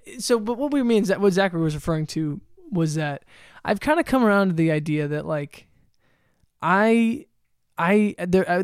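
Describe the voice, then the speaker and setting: A man's voice: a little high, a little mellow, clean tone, no echo, clean studio sound. A man co-hosting a podcast in casual chat, rambling with frequent laughs and fillers. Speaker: podcast co-host.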